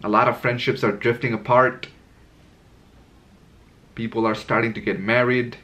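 A man's voice: medium pitch, flat delivery, normal speed, pushed and bright. A man in his twenties talking unscripted to camera in a room at home, candid and a little rambling as he sorts his thoughts. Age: 20s. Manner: candid, rambling, searching for words. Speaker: vlogger. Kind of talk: unscripted reflective monologue to camera. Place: indoor room with curtains.

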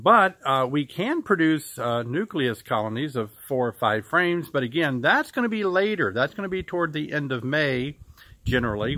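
A middle-aged American man from Illinois giving a casual beekeeping lesson outdoors to a close camera, relaxed and explanatory with frequent pauses. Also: medium pitch, no echo. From United States. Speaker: beekeeping teacher. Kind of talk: casual instructional talk to camera. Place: outdoors beside a farm building. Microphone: camera at close range.

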